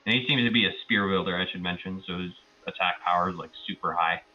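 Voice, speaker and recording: A man with a fairly deep but sharp-edged voice retells a roleplaying session as a player. He rambles casually and sounds amused. The online voice-chat audio is a little muffled. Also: fast. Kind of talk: casual recap of a tabletop game.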